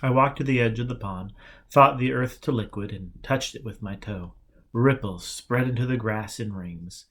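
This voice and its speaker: A man's voice: mid-range voice, moderate pace, fairly bright tone. A man reads a novel aloud in a mid-range voice. He narrates in the first person as a storyteller. The delivery is scripted storybook reading. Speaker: audiobook reader. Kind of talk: storybook narration with acted dialogue.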